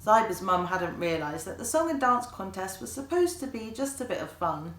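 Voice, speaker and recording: A woman reading a children's story aloud in an animated way, like an author presenting the book. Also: mid-range voice, moderate pace. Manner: animated, acts out dialogue. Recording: echoey room.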